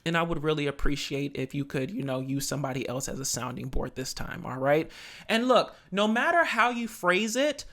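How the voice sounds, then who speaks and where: A man's voice: a little high, animated, normal speed, fairly bright tone. A man hosting a podcast, giving casual advice with lots of "you know" and "right?" asides. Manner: conversational, coaching, many "you know" and "right?" tags.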